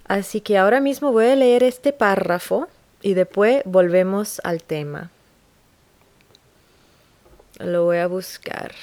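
A woman talking on her podcast in an unscripted monologue, halting, with frequent restarts and pauses. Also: mid-range voice, a little mellow, no echo.

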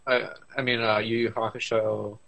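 A man's voice: on the low side, little pitch movement, moderate pace, gravelly, fairly bright tone, low-quality recording. A man co-hosting a podcast in casual chat about anime, laid-back and a little hesitant as he asks and answers. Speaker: podcast co-host.

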